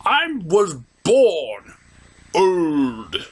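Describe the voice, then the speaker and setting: A cantankerous old wizard pony from a comedy puppet show, acted out by a man. The voice is high and raspy, swinging wildly in pitch and delivered at a slow pace, full of crude, senile boasting. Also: huge pitch swings, heavily acted. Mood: boastful. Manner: cantankerous, boastful, foul-mouthed.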